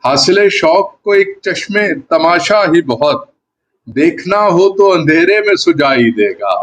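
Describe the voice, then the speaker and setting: A man, a poet, reciting ghazal verse in a deliberate manner, repeating lines for emphasis. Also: a little high, expressive. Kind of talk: Urdu ghazal poetry recitation. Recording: clear background noise.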